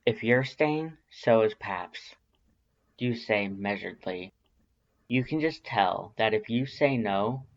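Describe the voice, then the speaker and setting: A man's voice: lowish voice, highs cut off. A man narrating scripted fiction in a dramatic storytelling style.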